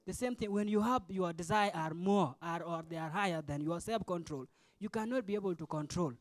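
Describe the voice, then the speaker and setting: A man's voice: sits high, a little fast, no room sound. A man in his twenties with a South Sudanese accent, speaking off the cuff as a student leader, explaining in short phrases and questioning his listeners. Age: 20s. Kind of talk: impromptu forum speech.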